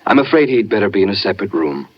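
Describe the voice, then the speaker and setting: Villainous radio doctor: very low, coolly authoritative, patronizing, dull vintage recording. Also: male voice, sing-song, racing. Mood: falsely concerned. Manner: formal, patronizing. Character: smooth scheming male doctor, coolly authoritative. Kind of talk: 1950s radio drama acting. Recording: muffled 1950s radio recording.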